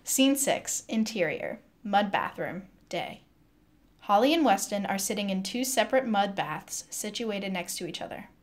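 Woman narrator, medium pitch. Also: expressive, a little slow. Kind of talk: scripted narration of stage directions.

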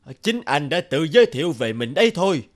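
A man's voice: a little high, very animated, fairly quick. Plain-spoken older cartoon repairman. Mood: reproachful. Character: older male bicycle repairman, modest, reproachful, plain-spoken. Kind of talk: cartoon dubbing.

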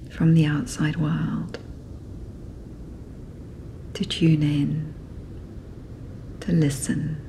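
A woman narrating a guided sleep meditation in a soft, breathy, whispery, soothing manner. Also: deep voice, slight background noise.

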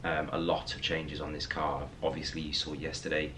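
Young guy, real low voice, bit raspy, faint hiss in the background. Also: normal speed. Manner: relaxed, talks with hands. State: slightly raspy after a late night. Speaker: vlogger. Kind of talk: casual direct-to-camera vlog talk. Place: hotel room indoors.

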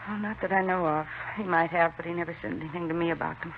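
A woman's voice: fairly low pitch, steady tone, quick pace, noisy background, close to an everyday voice. A woman playing a weary, subdued middle-aged widow in a 1950s radio drama, on an old muffled recording.

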